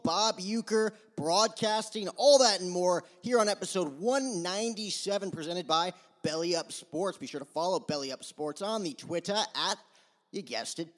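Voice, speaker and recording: Male podcast host, higher-pitched voice, casual slangy delivery, sports show style. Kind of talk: sponsor reads and casual interview.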